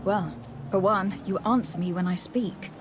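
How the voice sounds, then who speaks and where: A woman's voice: mid-range voice, measured pace, noisy background, lightly acted. An actress playing a composed noblewoman envoy in a fantasy game, asking polite questions. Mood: calm, inquiring. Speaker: game character actor. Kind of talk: scripted fantasy game dialogue.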